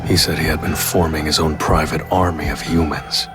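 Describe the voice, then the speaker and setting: An actor playing a calm, resolute young male hunter in a game, speaking in a measured, serious way. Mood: brooding, resolved. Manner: measured, low-key, serious. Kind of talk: dramatic game voice acting, inner monologue and dialogue. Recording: loud background music under the voice.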